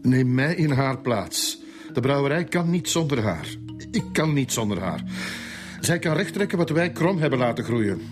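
A middle-aged Flemish father played by a male actor, desperate and pleading, his pitch moving animatedly. It is radio drama, with music behind him. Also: big pitch swings, moderate pace, natural voice.

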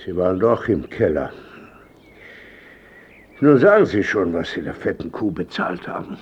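A man's voice: low-pitched, very animated, normal speed, a little mellow, slightly rough, highs cut off, heavily acted. An actor playing a cantankerous, frail old male painter in a radio drama, grumbling, curt and imperious. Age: older.

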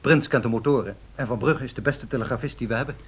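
Quick, husky, dutiful pilot. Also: male voice, medium pitch. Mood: respectful, businesslike. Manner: clipped, deferential, factual. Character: crisp, loyal, matter-of-fact male airline pilot.

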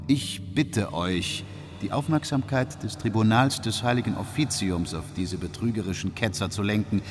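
An actor playing a formal, deferential Renaissance banker reading out a grave letter in a solemn, measured manner for a radio drama. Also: male voice, on the low side, natural voice. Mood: concerned, formal. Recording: long hall reverb, music underneath. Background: music.

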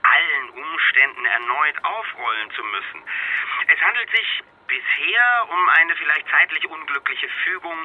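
An actor in a radio play voicing an evasive, glib male health ministry official, raspy and bureaucratic, heard through a telephone filter. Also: fairly high pitch. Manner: bureaucratic, glib, emphatic hedging. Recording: thin band-limited phone-like sound.

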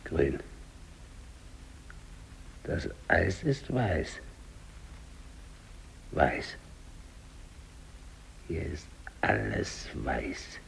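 An actor playing a dying, despairing English polar explorer alone, brooding and halting, in a 1950s German radio drama monologue. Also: male voice, very deep voice, sing-song, a little hiss or hum, full character voice, low-quality recording. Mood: hushed, resigned. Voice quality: gravelly, husky. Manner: halting, brooding, repeats words, hushed to declamatory.

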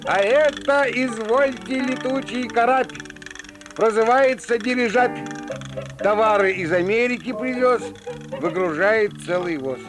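An actor playing a sly, folksy storyteller, narrating a fairy tale in rhyming, theatrical style. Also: male voice, extremely high pitch, unhurried, over music. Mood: folksy, showy.

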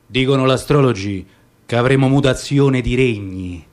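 A man performing a slow, lyrical, declamatory poetic monologue for radio theatre. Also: mid-range voice, darkish tone.

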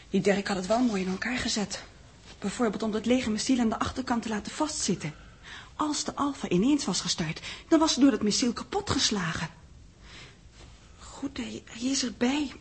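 A woman's voice: a little high, moderate pace, pauses often, close to an everyday voice. A woman playing a thoughtful, uneasy young secretary with hesitant, musing delivery, in a slightly noisy vintage radio drama. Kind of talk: 1960s radio drama acting. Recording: vintage radio recording with faint noise.

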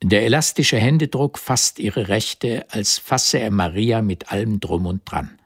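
A man's voice: lowish voice, unhurried, husky, dry recording, clean studio sound. A man reading literary narration as the narrator of a German radio drama.

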